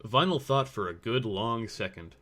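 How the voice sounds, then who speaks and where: A man's voice: on the low side, lively intonation, normal speed, sharp tone. A man reading scripted story narration as the narrator of a fan fiction audio drama.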